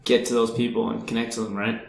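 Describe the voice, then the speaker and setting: A man's voice: mid-range voice, little pitch movement, quick pace, vocal fry. A man, chatting casually on a podcast. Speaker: podcast participant. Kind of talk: casual chat. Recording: roomy, echoing sound.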